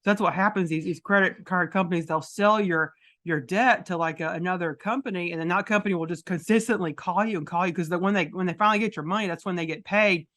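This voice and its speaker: A woman with a deep voice hosting a podcast, chatting casually and rambling with many restarts. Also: fast, few pauses, a bit bright. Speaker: podcast host.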